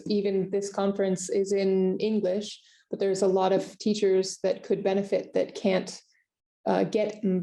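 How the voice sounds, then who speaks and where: A woman's voice: medium pitch, vocal fry, warm tone. A woman hosting a conference Q&A on a video call, friendly and affirming, with hesitant fillers. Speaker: conference host. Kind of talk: conference Q&A conversation.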